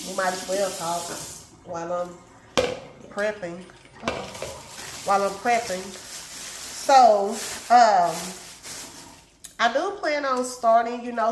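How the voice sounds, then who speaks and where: A woman's voice: a little high, roomy sound, noisy background. A middle-aged woman vlogger chatting casually while she cooks in her home kitchen, sounding congested and calling her viewers y'all and baby. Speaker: lifestyle vlogger. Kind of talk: casual chat while cooking.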